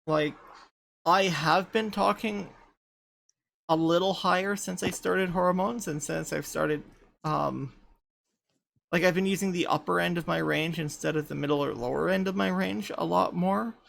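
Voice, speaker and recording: A woman in her thirties in casual group chat, light voice without chest resonance, talking haltingly with many restarts. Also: fairly low pitch, steady tone, creaky, slight background noise. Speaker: podcast panelist.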